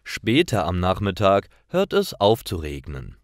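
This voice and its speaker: Male narrator for learners' stories: slow, careful, animated intonation, very dry recording. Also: low-pitched, no room sound. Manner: careful, clearly separated sentences. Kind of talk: scripted story narration for language learners.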